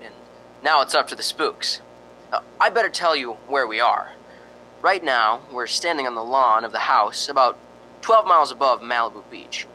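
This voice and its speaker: An actor playing a chatty, slightly nervous ghost-hunting radio host in a radio drama, hesitant and conversational. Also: male voice, mid-range voice, sharp tone, a little hiss or hum, low-quality recording. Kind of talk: radio drama acting, on-air commentary.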